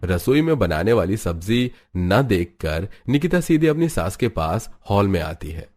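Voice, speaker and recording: A man's voice: on the low side, very animated, a little slow, a little mellow. A man narrating a scripted cartoon family story.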